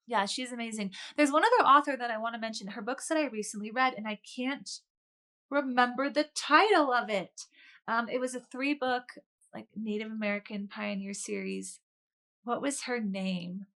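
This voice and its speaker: A woman with a bright, forward voice that sits fairly high. She talks as a guest author in an interview, chatty and earnest, in a conversational storytelling way. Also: normal speed.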